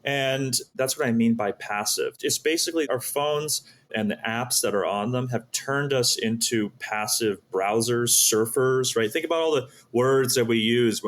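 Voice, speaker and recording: A man's voice: mid-range voice, unhurried, few pauses. A man speaking as an interview guest, explaining his ideas earnestly and often posing questions that he answers himself. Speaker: podcast guest, startup founder.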